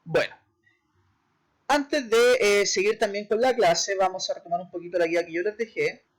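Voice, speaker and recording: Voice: mid-range voice, steady tone, moderate pace. A history teacher with a Chilean accent giving a recorded online class, explaining step by step and often checking in with short tag questions. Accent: Chilean Spanish. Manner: repeats key terms, brief hesitations and self-corrections.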